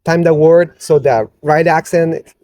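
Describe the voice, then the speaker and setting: A man, a band's singer, talking in a casual interview with many halting fillers. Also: fairly high pitch, fairly even intonation, a little mellow, no room sound, studio quality. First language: Spanish. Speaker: band singer.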